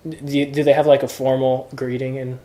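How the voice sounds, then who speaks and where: Male tutor, patient, step-by-step explainer, warm tone, roomy echoey recording. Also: medium pitch, flat delivery, brisk. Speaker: Greek tutor. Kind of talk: informal one-on-one lesson.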